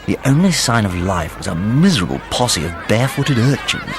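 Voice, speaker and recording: A man's voice: on the low side, fairly quick, over music. An English actor playing an impulsive young Englishman who narrates his own story in a radio drama. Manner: wry, animated storytelling. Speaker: narrator and lead.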